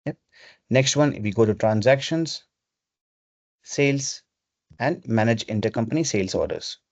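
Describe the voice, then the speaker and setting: A man presenting a webinar, explaining software step by step in an instructional way over a video call. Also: mid-range voice, animated, moderate pace, gravelly, no echo. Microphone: video call microphone. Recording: dull, lo-fi video call audio.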